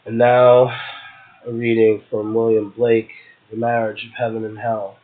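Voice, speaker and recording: A man's voice: lowish voice, monotone, a little slow. A man, a guest poet, reading verse and chatting casually. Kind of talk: poetry reading and casual chat. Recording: tinny phone line.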